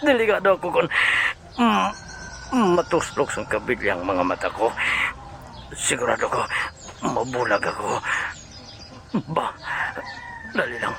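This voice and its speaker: A man playing a battered, vengeful captive tough guy in a comic action audio drama, with exclamatory, drawn-out delivery. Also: mid-range voice, big pitch swings, gravelly, exaggerated voice. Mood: nervous, startled. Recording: loud music behind the voice.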